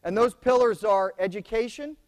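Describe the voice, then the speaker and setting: A soft-spoken middle-aged American man giving opening remarks at a conference, plainspoken and repeating key phrases for emphasis. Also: sits high, moderate pace. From United States. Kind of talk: conference speech to students.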